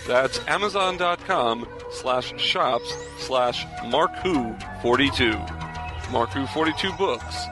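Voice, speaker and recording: A man's voice: medium pitch, animated, takes it slowly, over music. A man hosting a podcast, moving between interview chat and announcer-style promo reading.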